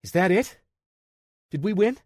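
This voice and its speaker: Guy reading an excited chatty young tech kid, voice pushed up high, dry close audiobook sound. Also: a little slow, no room sound. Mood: eager. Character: eager young male tech support guy.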